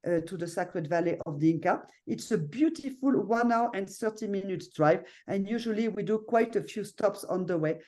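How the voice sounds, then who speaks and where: A woman's voice: medium pitch, darkish tone. A middle-aged woman with a French accent, presenting her property on a video call in a chatty way, often adding "no?" and "you know". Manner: chatty, frequent fillers and tag questions. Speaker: hotel owner presenting.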